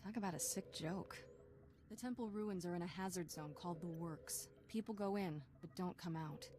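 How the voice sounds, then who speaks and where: An actress voicing a sarcastic, quick-witted young female Jedi companion in video game dialogue. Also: on the low side, background noise, lightly acted. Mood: wry.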